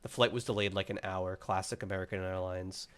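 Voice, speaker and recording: A man's voice: deep voice, steady tone, fairly quick, smooth. A young man co-hosting a podcast, chatting casually and rambling with lots of "like", sounding a bit congested from a cold. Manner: rambling, heavy use of filler "like". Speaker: podcast co-host. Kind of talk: casual chat.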